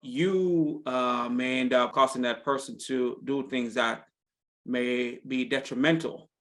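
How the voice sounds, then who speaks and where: A man's voice: mid-range voice, fairly even intonation, takes it slowly, fairly bright tone. A man hosting an interview, affirming his guest and speaking with frequent ums and uhs. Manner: affirming, many fillers. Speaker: podcast host. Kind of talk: interview conversation.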